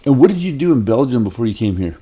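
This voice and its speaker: A man's voice: medium pitch, very animated, fast, mellow, slightly rough, muffled recording. Casting: male podcast host, mid-range voice, casual conversational interviewing style.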